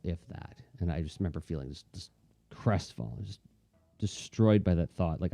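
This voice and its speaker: A man's voice: deep voice, gravelly, warm tone, dry recording. A man in casual, reflective chat, talking in a thoughtful, rambling, conversational way as an artist hosting a livestream.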